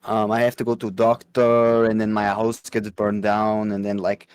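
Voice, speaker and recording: A man's voice: fairly low pitch, completely flat delivery, normal speed. A man rambling absurdly and casually in broken, slangy in an online chat.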